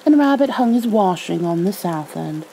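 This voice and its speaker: A woman narrates a storybook in a tender, hushed ASMR manner, like a caregiver soothing someone to sleep. Her voice is mellow and slightly creaky, moving expressively, over faint background noise. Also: on the low side, lively intonation, normal speed, poor audio. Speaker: ASMR roleplay performer reading a bedtime story.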